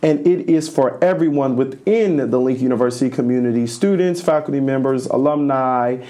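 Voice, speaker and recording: College guy podcast host, voice kinda strained, mellow dark tone, lots of ums and restarts. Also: medium pitch, brisk. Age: young adult. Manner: rambling with fillers and restarts. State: slightly strained voice. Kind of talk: casual chat.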